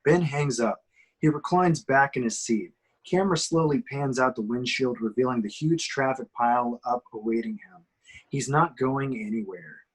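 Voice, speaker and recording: A man narrates the action lines of a film script at an amateur table reading. His voice is medium in pitch and somewhat husky as he describes each shot. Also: normal speed, rough recording. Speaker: reader of stage directions.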